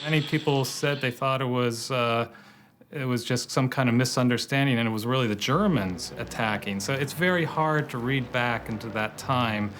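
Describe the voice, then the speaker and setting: A man giving explanatory interview commentary, with halting, thoughtful phrasing. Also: medium pitch, a bit bright, a little hiss or hum. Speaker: documentary interviewee.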